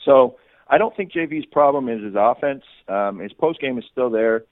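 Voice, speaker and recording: A man's voice: medium pitch, animated, normal speed, dry recording. A man giving off-the-cuff sports analysis as a guest reporter, often repeating words and restarting his sentences. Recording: muffled phone-like radio line.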